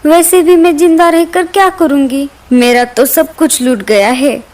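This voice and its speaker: A clever little cartoon mother bird acted by a woman. Her voice is very high, plaintive and pleading, with a crafty, daring streak. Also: steady tone, measured pace, darkish tone, a little hiss or hum. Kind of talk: Hindi cartoon dialogue.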